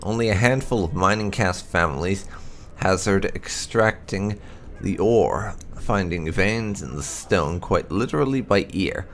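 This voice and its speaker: A man reading game lore aloud in a measured, formal narration style. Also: sits low, a little hiss or hum. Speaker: gaming channel host reading lore entries. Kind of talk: read-aloud narration of fantasy game codex.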